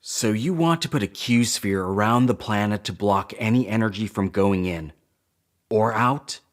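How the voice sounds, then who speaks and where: A cautious, doubting male crew officer, played by an actor in a sci-fi audio drama. He has a fairly low voice and challenges things bluntly. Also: measured pace, lightly acted. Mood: skeptical.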